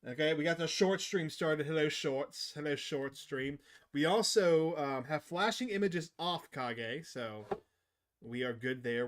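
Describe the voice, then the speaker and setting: Higher-pitched man chatting on a gaming livestream. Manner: enthusiastic, chatty with viewers. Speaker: livestream host. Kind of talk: casual gaming livestream chat. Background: game music.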